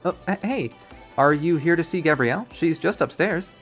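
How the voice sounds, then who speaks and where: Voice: mid-range voice, normal speed, soft background music, pitched above the performer's own voice, natural voice. A performer voicing a casual, eager, slightly awkward young visual novel protagonist with a questioning manner. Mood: friendly, curious.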